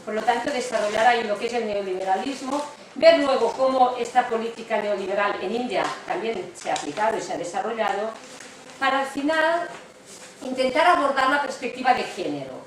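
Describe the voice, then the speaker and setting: A woman's voice: fairly high pitch, expressive, fairly quick. Echoey Catalan woman giving a lecture. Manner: frequent tag questions, explanatory. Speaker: university economics lecturer, guest speaker. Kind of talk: public lecture, conversational. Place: lecture room with strong echo. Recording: very echoey room, distant mic, noisy.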